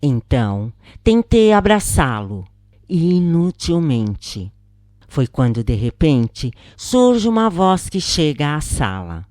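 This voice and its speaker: A Brazilian woman narrates a first-person account in a low voice. Her delivery is solemn and deliberate, with slow pacing and dramatic swings in pitch. She phrases each thought expressively, as in an audiobook. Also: big pitch swings, a little mellow, no echo, studio quality. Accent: Brazilian Portuguese.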